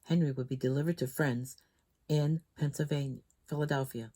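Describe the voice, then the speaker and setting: A woman storytime host reading a children's book aloud in an expressive, dramatic way that leans on key words. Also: low voice, moderate pace.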